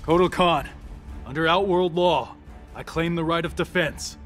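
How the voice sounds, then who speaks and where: A man's voice: on the high side, a little slow, fairly bright tone, soft background music. A man playing an earnest young warrior monk in a game, speaking formally and persuasively. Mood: earnest, diplomatic. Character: earnest young male warrior monk, smooth-talking diplomat. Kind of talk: video game cutscene acting.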